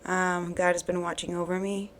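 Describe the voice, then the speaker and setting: A woman hosting a bible reading channel, talking earnestly and encouragingly to her viewers while her voice is hoarse from recent illness. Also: fairly low pitch, level tone, moderate pace, slight background noise. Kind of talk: casual devotional talk to camera.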